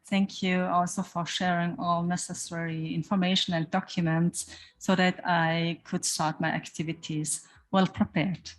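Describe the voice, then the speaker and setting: German-native woman treasurer, lower voice, measured formal report delivery. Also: flat delivery. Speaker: association treasurer.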